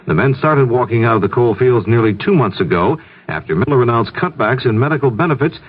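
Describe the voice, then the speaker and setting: A man's voice: medium pitch, lively intonation, brisk. A man reading scripted 1970s network radio news in a matter-of-fact way. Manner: crisp, matter-of-fact delivery. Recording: narrow-band 1970s radio, faint noise.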